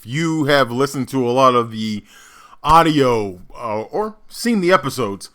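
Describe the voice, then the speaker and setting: Bright-voiced man reacting casually. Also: medium pitch, animated, unhurried. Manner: interjecting, thinking aloud in loose asides. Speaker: reaction video host.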